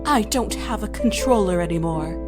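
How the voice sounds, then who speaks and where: A woman's voice: on the low side, lively intonation, moderate pace, lightly acted. A woman playing a naive, indignant alien woman in a fan audio drama, speaking earnestly in formal, stilted wording. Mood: angry, indignant. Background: background music.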